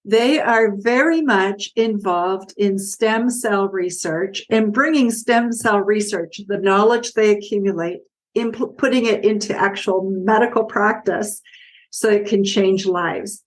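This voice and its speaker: Woman podcast host, mid-pitched voice, measured intro style. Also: poor audio. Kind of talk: podcast interview.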